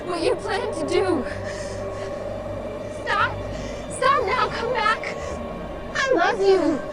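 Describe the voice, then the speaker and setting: A woman's voice: very high voice, lively intonation, takes it slowly, full character voice. A woman playing a heartbroken young wife, pleading in a sci-fi drama. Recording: voice buried under loud dramatic music.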